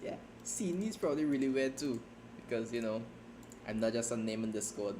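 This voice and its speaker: A man chatting casually on a writing livestream, rambling and self-deprecating, talking in halting starts. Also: mid-range voice, animated, noisy background. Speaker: streamer and fan fiction writer. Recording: keyboard typing audible behind voice.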